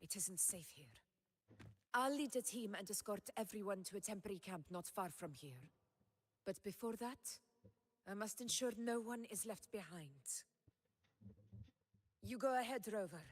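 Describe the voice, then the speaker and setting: A woman's voice: mid-range voice, expressive, moderate pace, long pauses, in a large hall, lightly acted. An actress playing a noble, dutiful woman ruler in a video game, speaking with formal authority. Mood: solemn resolve. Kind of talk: dramatic video game voice acting.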